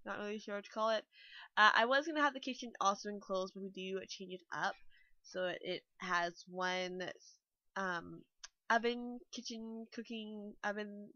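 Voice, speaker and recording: A woman's voice: medium pitch, sharp tone, no echo. A young woman, a bit nasal and still a little sick, rambling casually through a voice-over walkthrough with lots of fillers. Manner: rambling, chatty, full of fillers. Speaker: game builder vlogger. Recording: dull dry lo-fi mic.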